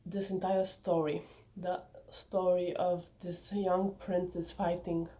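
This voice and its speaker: Young woman vlogger, Bulgarian native speaker, mellow warm voice, chatty and eager. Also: lowish voice. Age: young adult. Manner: enthusiastic, chatty, sometimes personal and reflective. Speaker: author presenting her book. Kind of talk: casual vlog talk to viewers.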